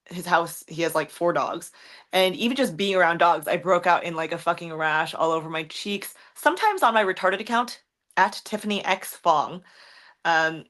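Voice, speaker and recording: Deep-voiced young woman chatting casually. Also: normal speed, a bit bright. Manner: rambling, frank, swears often, many ums and likes. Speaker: content creator answering viewer questions. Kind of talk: casual livestream chat.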